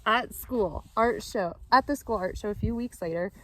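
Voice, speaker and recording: A woman teacher reading a storybook aloud with asides to children, expressive and encouraging, in simple child-directed phrasing. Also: mid-range voice, moderate pace, a little hiss or hum, poor audio.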